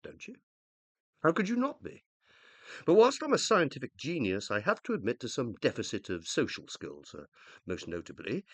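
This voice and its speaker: A self-important male scientist in a radio play, acted by a man, uploaded after death and very proud of it. His delivery is theatrical and extremely animated, with wide leaps of pitch. The sound is close and dry, and his pompous tone tips readily into indignation. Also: dry recording, full character voice. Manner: pompous, theatrical, easily exasperated. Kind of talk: radio play acting, monologue and dialogue.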